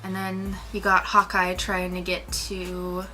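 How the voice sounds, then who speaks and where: A woman's voice: lowish voice, monotone, a little slow, sharp tone, rough recording. A woman co-hosting a film review in a casual, chatty style. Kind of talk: casual two-person chat review.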